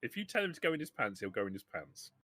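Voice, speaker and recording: A man's voice: mid-range voice, sing-song, quick pace, a bit bright, husky, no echo. A man acting as game master, explaining rules casually and chatting with players at a tabletop game.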